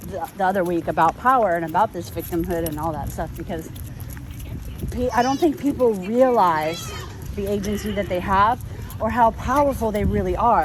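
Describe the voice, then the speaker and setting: A woman's voice: medium pitch, background noise. A woman in a casual philosophical chat, talking in a rambling way and often given to laughter.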